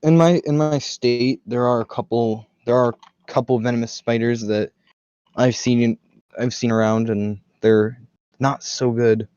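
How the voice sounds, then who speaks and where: A man's voice: medium pitch, steady tone, unhurried, a little mellow, clean tone, no room sound, lo-fi sound. An American man hosting a podcast, chatting casually, telling anecdotes and laughing easily. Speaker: podcast host.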